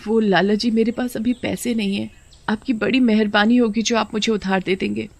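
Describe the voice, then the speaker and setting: A poor young mother who works as a housemaid speaks in a storybook drama, acted by a woman. She is humble and anxious, pleading softly for help. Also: medium pitch, steady tone, moderate pace, gravelly, dry recording. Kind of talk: Hindi moral-story character acting.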